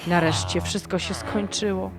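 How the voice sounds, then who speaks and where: A woman narrating a first-person horror story in a slow, dramatic storytelling manner. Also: medium pitch, steady tone. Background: background music.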